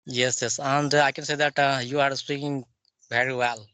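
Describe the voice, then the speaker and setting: Bright-voiced East Indian man, formal and deferential. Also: medium pitch, flat delivery, normal speed, smooth, dry recording. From Jharkhand, India. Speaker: English learner practising conversation. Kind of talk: polite practice conversation in English.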